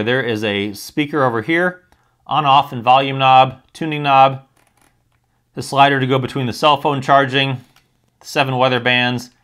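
A man's voice: medium pitch, a bit bright. A man reviewing and demonstrating a product in a casual, candid, conversational way with dry humour.

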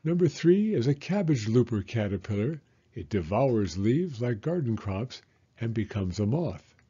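A man in his sixties reading a children's picture book aloud in a measured storytelling manner. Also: medium pitch, sing-song, mellow, husky, lo-fi sound. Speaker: story reader and host, elementary school teacher.

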